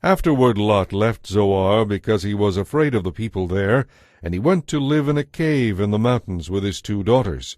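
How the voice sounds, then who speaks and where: A man's voice: lowish voice, expressive, normal speed, darkish tone, no echo, clean studio sound. A man giving scripted dramatic narration of a Bible story as the narrator.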